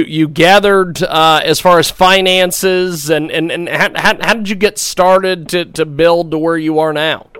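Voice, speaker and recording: A man's voice: sits high, fairly bright tone, clean tone, no room sound. A man hosting a radio interview show, often hesitant, stumbling and repeating words as he asks questions. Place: radio studio.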